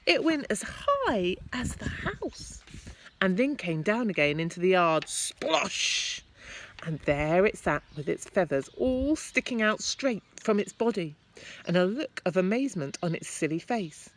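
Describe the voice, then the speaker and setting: A woman teacher reading a children's story aloud expressively, with deliberate, dramatic emphasis. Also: medium pitch, sing-song.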